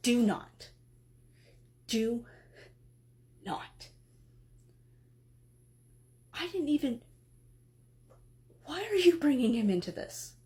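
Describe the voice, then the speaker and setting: A woman voicing an exasperated goddess who scolds her husband, swinging between sharp outbursts and weary pleading. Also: sits high, very animated, a little slow, pauses often. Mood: frustrated. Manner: scolding, then pleading and tearful. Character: exasperated, emotional goddess wife.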